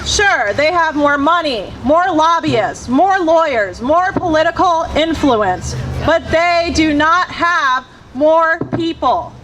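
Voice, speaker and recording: A woman's voice: high-pitched, expressive, slow pace, over a noisy crowd, very noisy. A grassroots organizer from Virginia giving an impassioned, rhythmic speech at an outdoor rally, building her points in lists.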